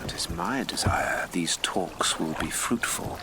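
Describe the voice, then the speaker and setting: Grave shrouded statesman, really deep voice, solemn and guarded, noisy water behind. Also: measured pace, creaky. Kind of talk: period radio drama acting.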